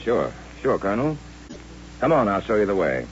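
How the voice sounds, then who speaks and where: An actor playing a dry, sensible frontier town doctor, folksy and gruff, in an old-time radio western. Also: male voice, fairly low pitch, very animated, moderate pace, loud music behind the voice. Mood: thoughtful. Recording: dull, noisy, humming old radio recording.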